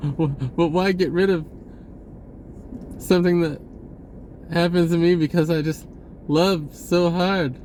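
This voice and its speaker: Slow-talking man, rambling casually over background noise. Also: fairly high pitch, steady tone, darkish tone. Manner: halting, drifting and informal. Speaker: spiritual vlogger. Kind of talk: casual rambling monologue on spirituality.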